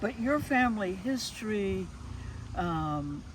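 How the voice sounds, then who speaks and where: A woman conducts a conversational interview at a slow, unhurried pace. Her pitch is middling, and she sounds reflective and responsive. Clear background noise sits behind her voice. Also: lively intonation.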